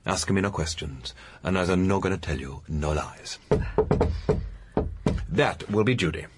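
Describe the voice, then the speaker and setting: An actor playing a witty, arch entertainer turned sleuth in a radio mystery, with a theatrical, dryly flippant manner. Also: male voice, low voice, unhurried, very noisy. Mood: arch, playful. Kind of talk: radio mystery drama acting.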